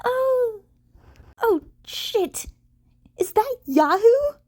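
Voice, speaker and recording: Brief: female reader plays jumpy low-level crook in an audio story, voice pushed high, agitated. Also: animated, extremely slow, darkish tone, dry recording, clean studio sound, heavily acted. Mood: alarmed. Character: panicky small-time criminals, men and women.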